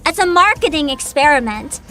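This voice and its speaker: A woman chatting casually as a livestream host, excitable and giggly, with dramatic emphasis. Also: sits high, brisk, soft background music.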